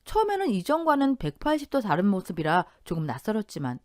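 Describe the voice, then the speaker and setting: A woman hosting and reading a first-person family story aloud in an expressive, confiding way. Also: medium pitch, fast, no room sound, studio quality. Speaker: host and storyteller.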